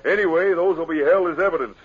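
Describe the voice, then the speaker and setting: An American actor playing a hearty, take-charge Old West sheriff, folksy and authoritative, in a 1950s radio western. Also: male voice, a little high, quick pace, husky, dull audio. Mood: jovial, relieved.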